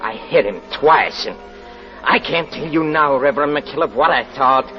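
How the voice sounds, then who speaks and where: An actor playing an escaped convict posing as a minister, sly and unhinged, with halting, nervy speech in 1940s radio thriller style. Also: male voice, a little high, animated, natural voice. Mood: feverish confession. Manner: halting, nervy, with repeated words. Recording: muffled old radio recording with music.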